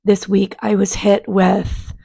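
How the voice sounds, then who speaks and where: A woman, raspy after a sinus virus, giving a casual spiritual energy forecast to her channel in a gentle, chatty way. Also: medium pitch, completely flat delivery, takes it slowly. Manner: gentle, chatty, with many fillers and restarts. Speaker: spiritual coach and channel host.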